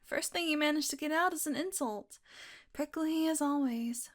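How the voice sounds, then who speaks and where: A woman's voice: high-pitched, moderate pace, natural voice. A woman acting a playful, sardonic, curious young vampire in an intimate audio roleplay. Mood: playful, wry. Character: teasing, curious young female vampire doing experiments.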